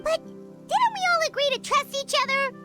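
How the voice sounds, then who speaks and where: A woman voicing a tiny childlike fairy companion in a video game, squeaky, excitable and a bit anxious. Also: normal speed, loud music behind the voice. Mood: hesitant. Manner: squeaky, exclamatory, refers to self by name.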